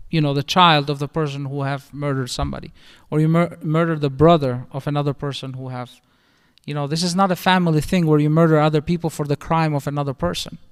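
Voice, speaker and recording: Middle-aged Albanian-accented male imam, somewhat high and smooth-voiced, explaining patiently in a reverberant mosque hall. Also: a bit bright. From northern Albania. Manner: explanatory, conversational teaching with restarts. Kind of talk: religious lecture.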